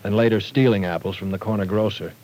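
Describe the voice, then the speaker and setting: A man's voice: fairly low pitch, fast talker, darkish tone, natural voice. An actor playing a firm, fatherly professor in a 1940s radio drama, lecturing and cutting in to keep the talk in order. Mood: stern.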